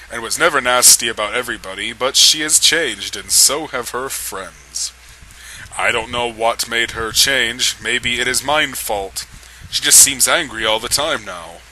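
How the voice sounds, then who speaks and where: A man's voice: mid-range voice, pushed and bright, a little hiss or hum, low-quality recording. A man voicing a lovestruck, gallant young male vampire with overwrought, archaic courtly phrasing.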